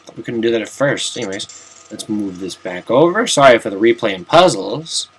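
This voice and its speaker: A man's voice: lowish voice, lively intonation, normal speed, slightly rough. Chatty young man narrating gameplay. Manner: chatty, self-mocking, jokey asides. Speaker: let's play commentator. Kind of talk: casual gameplay commentary.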